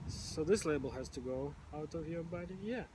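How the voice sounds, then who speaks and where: A man's voice: a little high, moderate pace, noisy background. A man in casual conversation who now and then repeats sounds when stuck on words. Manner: occasional stuttered repetitions, informal phrasing. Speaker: stammering support group member.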